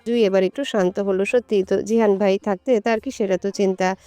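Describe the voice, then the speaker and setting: A woman's voice: mid-range voice, fairly even intonation, brisk, mellow, smooth, faint music behind. A woman storyteller reading a scripted romantic story as narration.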